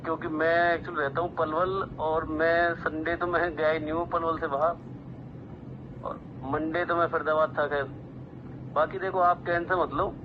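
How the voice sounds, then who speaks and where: A man's voice: fairly high pitch, level tone, normal speed. A man in a casual phone conversation, politely and repeatedly protesting in an apologetic way. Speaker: prank call recipient, office worker. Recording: dull, noisy phone line.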